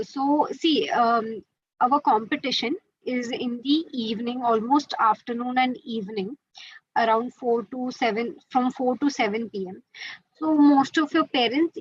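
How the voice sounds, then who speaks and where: Indian woman, quiz organizer, husky voice, high pitch, Hindi-English mix, muffled call sound. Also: no room sound. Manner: mixes Hindi into English, frequent fillers. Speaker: quiz organizer teaching students. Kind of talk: online webinar explanation. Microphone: video call.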